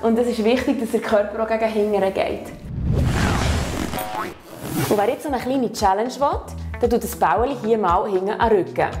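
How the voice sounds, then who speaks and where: A woman's voice: on the high side, normal speed, long pauses, over music. A woman with a Swiss accent who patiently talks a learner through stretching and back exercises. She often tags her sentences with a questioning "oder?". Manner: patient, encouraging, ends phrases with "oder?". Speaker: fitness instructor. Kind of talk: guiding exercises step by step.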